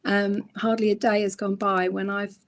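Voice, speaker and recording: A middle-aged woman with a croaky voice from a cold, telling her story slowly as a guest on a video call. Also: medium pitch, flat delivery. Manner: slow, reflective storytelling with many ums. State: hoarse from a cold. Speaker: guest crochet designer and author. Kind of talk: casual chat on a livestream.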